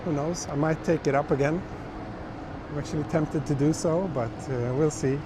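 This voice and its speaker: A man with a hoarse voice from a cold, hosting a relaxed live walking stream and chatting with viewers through a clip-on mic. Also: a little high, normal speed. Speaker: livestream host. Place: busy city streets outdoors at night. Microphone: small wireless clip-on mic. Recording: outdoor street noise. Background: city traffic and street noise.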